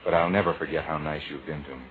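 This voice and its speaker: A man's voice: a bass voice, steady tone, fast. A man playing an easygoing, gallant young sailor with a casual manner in a 1940s radio romance. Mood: gentle. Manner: casual, friendly, plain-spoken. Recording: thin, narrow-band vintage radio recording.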